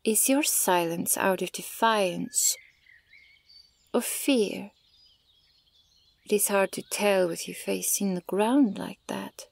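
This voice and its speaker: A woman playing a haughty, menacing, centuries-old elven archer in an ASMR roleplay, deliberate and condescending, at times nearly whispering. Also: mid-range voice, creaky. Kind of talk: slow ASMR roleplay monologue.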